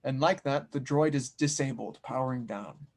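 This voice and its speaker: Mid-pitched man narrating a script. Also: moderate pace, a little mellow. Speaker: narrator reading stage directions. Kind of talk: scripted narration, table read.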